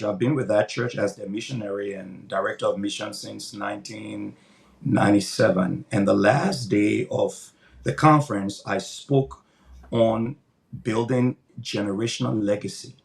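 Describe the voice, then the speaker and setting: An older man speaks with a low, gravelly voice and a rough edge of fry. He answers interview questions like a pastor, earnest and emphatic. He often repeats words as he builds toward a point. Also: steady tone, moderate pace. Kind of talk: interview answers, preaching cadence.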